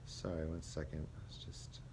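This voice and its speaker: A young man, a student teacher, reflecting aloud on a lesson he taught, with many pauses and fillers. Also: a bass voice, flat delivery, gravelly. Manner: hesitant, many ums and restarts. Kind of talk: spoken self-reflection. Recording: faint background noise.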